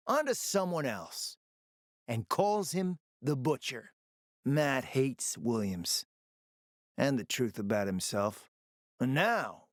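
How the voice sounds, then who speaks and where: Sly smooth-talking spymaster for a game, theatrical and urbane, pitch moves around a lot, dry recording. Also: male voice, no room sound. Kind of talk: video game voice acting.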